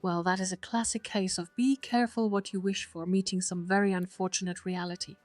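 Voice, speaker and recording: A woman's voice: medium pitch, fairly even intonation, a little fast, a little mellow, dry recording. A woman's synthetic-sounding voice giving witty, polished commentary as a co-host in a wry, teasing way. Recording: music behind the voice.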